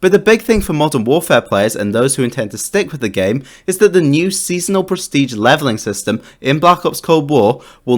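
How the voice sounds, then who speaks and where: A man with a mid-pitched voice hosts a gaming channel update. He reads from a script in an enthusiastic way, leaning firmly on the start of each new point. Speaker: gaming YouTube host. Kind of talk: scripted news-update narration for a video game channel.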